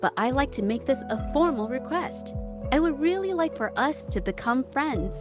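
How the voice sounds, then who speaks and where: A shy young female artist in an anime-style game. Her voice sits high, and she speaks earnestly and politely, hesitating and stammering with nerves. Music plays clearly underneath. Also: lively intonation, moderate pace. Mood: earnest, nervous.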